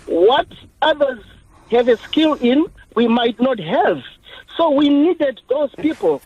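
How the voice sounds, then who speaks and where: A man's voice: very high-pitched, lively intonation, takes it slowly, darkish tone, slightly rough. A man, calling in to a radio phone-in and speaking earnestly and insistently. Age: 40s. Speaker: caller. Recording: dull phone line.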